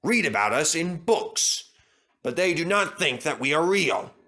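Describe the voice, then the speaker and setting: A man acts out a commanding railway director from a storybook. He is formal and stern, with a raised pitch and deliberate pace. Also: lively intonation, bright, forceful tone, exaggerated voice. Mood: authoritative. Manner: authoritative, formal, bellowing when crossed.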